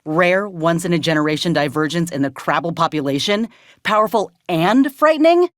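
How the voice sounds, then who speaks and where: A woman's voice: fairly low pitch, expressive, moderate pace, dry recording, close to an everyday voice. An actress playing a plainspoken, stubborn woman soldier in a video game, with folksy, dry, blunt phrasing. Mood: measured, wry. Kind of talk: video game character dialogue.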